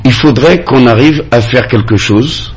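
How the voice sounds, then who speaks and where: A man's voice: medium pitch, a little slow, breathy edge, muffled recording. A man from Guiana, speaking insistently and repeating phrases as a candidate in a radio campaign debate. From French Guiana. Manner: insistent, emphatic, repeats phrases to drive points home. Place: radio studio.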